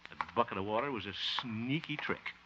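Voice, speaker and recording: A man playing a blustering soft-hearted radio homemaker. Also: lowish voice, lively intonation, a little fast, lightly acted. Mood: grumbling. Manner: blustering, grumbling comic asides. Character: bumbling soft-hearted middle-aged male radio homemaker. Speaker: radio show lead. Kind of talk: 1950s radio comedy acting. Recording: dull hissy 1950 radio broadcast.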